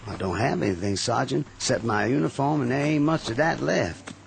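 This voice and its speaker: A man's voice: mid-range voice, brisk, creaky, a little hiss or hum, lightly acted. A man playing a polite, humble young Confederate soldier with a Southern accent, wounded and heading home on foot, in a radio drama. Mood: earnest, humble. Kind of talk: 1960s-style radio drama acting.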